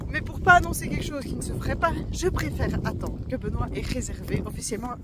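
A woman's voice: high voice, expressive, quick pace. A woman in her thirties chatting casually to a phone camera on a family travel vlog, with lots of hesitations and restarts. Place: outdoors on a windy coast, later in a camper van and a restaurant. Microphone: phone camera at arm's length. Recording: outdoor phone recording with background noise.

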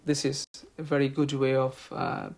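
A middle-aged man from Bangladesh with a Bangladeshi accent, answering interview questions in a measured, hesitant way. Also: medium pitch, monotone, gravelly, slight background noise. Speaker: health promotion officer interviewee.

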